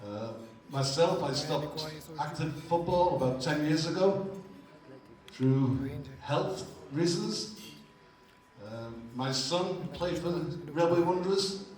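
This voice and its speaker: A man's voice: a little high, slow pace, darkish tone. An older man from England with a Liverpool accent, giving a humorous impromptu speech as a veteran club member at an evening party. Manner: humorous, self-deprecating. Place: large hall at an evening party. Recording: echoey hall from far off.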